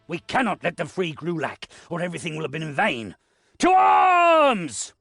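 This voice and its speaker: Casting: solemn heroic leader, fantasy game, slightly rough voice, very dynamic intonation. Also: male voice, fairly high pitch, big pitch swings, moderate pace, a bit bright, dry recording, studio quality, soft background music, exaggerated voice. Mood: urgent. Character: earnest, commanding young-hearted hero leading against orcs. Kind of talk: fantasy video game voice acting.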